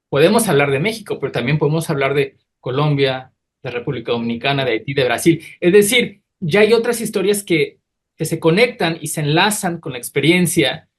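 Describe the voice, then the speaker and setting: Thoughtful male academic on a panel. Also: a little high. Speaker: university lecturer, panel guest.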